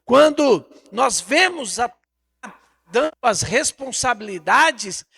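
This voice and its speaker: A man's voice: very high-pitched, animated, slow pace, vocal fry, sharp tone, husky. A Brazilian man preaching a Bible lesson in an emphatic, didactic way, repeating phrases and posing rhetorical questions. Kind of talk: Bible teaching sermon.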